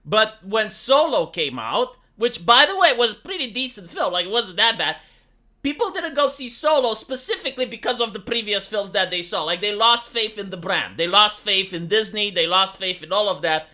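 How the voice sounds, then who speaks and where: A man's voice: high voice, expressive, moderate pace, bright, forceful tone. A Romanian man with a Romanian accent giving a sarcastic, exasperated, opinionated commentary in casual speech. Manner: sarcastic, exasperated, with rhetorical tag questions. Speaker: YouTube commentator and streamer.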